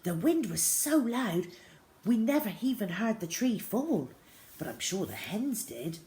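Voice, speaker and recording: A woman reading a children's novel aloud as a first-person diary, with expressive, deliberate phrasing. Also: medium pitch.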